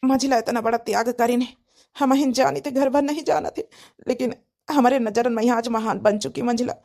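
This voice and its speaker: A woman's voice: fairly high pitch, fairly even intonation, fairly quick, creaky, darkish tone. A woman voicing an earnest, emotional village man in a cartoon family drama, insistent and repetitive, with an Awadhi accent. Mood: admiring.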